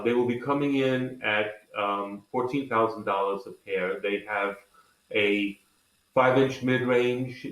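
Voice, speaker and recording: Low-voiced man in an echoey room. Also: a bit bright. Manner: enthusiastic. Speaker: company president being interviewed. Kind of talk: conversational product explanation. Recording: echoey, roomy sound.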